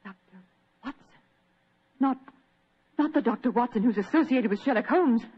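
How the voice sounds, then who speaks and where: A woman playing a secretive, well-bred young lady in an old-time radio mystery, polite, composed and urgent, with a breathy voice. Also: a little high, normal speed. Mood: polite, pleading. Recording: dull vintage radio drama recording.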